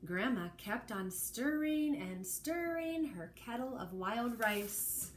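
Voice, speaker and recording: A woman teacher reading a children's storybook aloud expressively, pausing to explain things and put questions to the listener. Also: on the high side, expressive, slight background noise. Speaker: early learning teacher.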